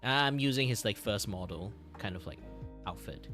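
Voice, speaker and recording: A man who speaks English as a second language, chatting casually on a livestream in a halting, repetitive way. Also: on the low side, over music. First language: not English. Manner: halting, repetitive, animated reactions. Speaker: streamer and animator.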